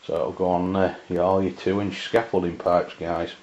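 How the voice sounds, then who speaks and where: English guy doing a casual gear review, low monotone, halting and matter of fact, muffled lo-fi audio. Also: slight background noise. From England. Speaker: amateur radio reviewer. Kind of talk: casual hands-on product review. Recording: dull, lo-fi camera sound.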